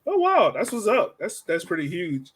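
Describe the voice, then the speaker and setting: A man's voice: high voice, wildly swooping pitch, normal speed, husky. A man hosting a casual sports podcast, chatting in an informal, slangy way. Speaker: podcast host.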